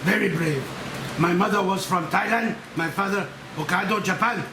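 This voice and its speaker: A man's voice: high voice, normal speed, close to an everyday voice. Courteous, inquisitive male doctor read by a man, table read with room echo and noise. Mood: polite, curious. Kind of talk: movie script table read. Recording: echoey room, clear noise.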